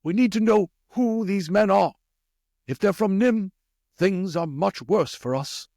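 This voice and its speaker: A man voicing an earnest, commanding older rat leader for an audiobook, with a measured, questioning delivery. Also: high-pitched, dark tone, no echo, clean studio sound. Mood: concerned, probing. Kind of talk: audiobook character voice.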